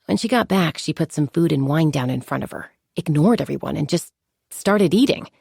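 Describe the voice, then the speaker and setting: An actress playing a composed, confident young warrior woman in a video game, speaking in a measured, articulate and reflective way. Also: sits low, animated, vocal fry, dark tone, breathy edge, no echo, clean studio sound, exaggerated voice. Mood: amused storytelling. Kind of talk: scripted game character monologue.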